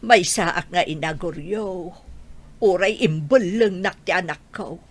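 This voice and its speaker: Woman playing a low-voiced, sorrowful old grandmother. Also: unhurried. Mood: sorrowful, insistent. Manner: plaintive, tender. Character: sorrowful, devoted old grandmother longing for her son. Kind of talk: Ilocano audio drama acting.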